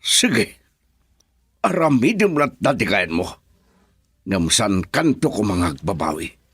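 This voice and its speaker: A man's voice: lowish voice, big pitch swings, slow pace, fairly bright tone, husky, dry recording, studio quality, full character voice. A man playing a stern, disapproving middle-aged father who warns his daughter in an Ilocano radio drama.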